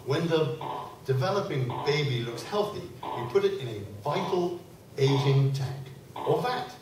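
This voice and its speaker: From a distant, very echoey room, a man acts out an eccentric doctor for a comic radio play. His delivery swings widely in pitch, smug and condescending. There is faint noise behind him. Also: lively intonation, unhurried, a little mellow. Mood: patronising. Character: eccentric male doctor, smug, patronising, sourly misogynistic. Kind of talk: comic radio play acting before an audience. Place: convention room, live stage performance.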